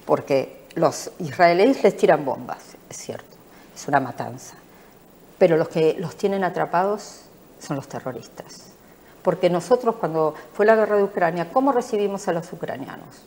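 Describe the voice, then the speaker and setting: A middle-aged Argentine woman in a studio interview, speaking with a lowish pitch. She is emphatic, repeats her points and pushes them home. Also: animated, moderate pace, darkish tone. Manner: emphatic and repetitive. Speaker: interviewed politician.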